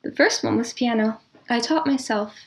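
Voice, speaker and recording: A woman speaking as an interview guest in casual, reminiscing conversation, with a thoughtful, measured way of talking. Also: a little high. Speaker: interview guest, composer and audio drama producer.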